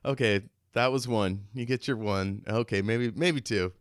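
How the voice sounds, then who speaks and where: A man's voice: on the low side, measured pace, no echo, studio quality. A man co-hosting a podcast in casual, informal chat, with a jokey, self-deprecating manner. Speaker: podcast co-host.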